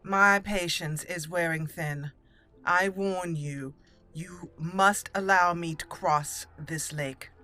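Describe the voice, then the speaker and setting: A woman voicing a haughty, stern elf mage in fantasy roleplay, measured and imperious. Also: on the low side, steady tone, bright, forceful tone, soft background music.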